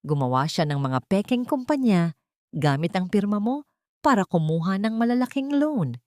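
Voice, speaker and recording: A woman playing a formal male family lawyer who speaks quietly but emphatically, holding back anger. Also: mid-range voice, sing-song, normal speed, no echo, studio quality, lightly acted. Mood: restrained anger.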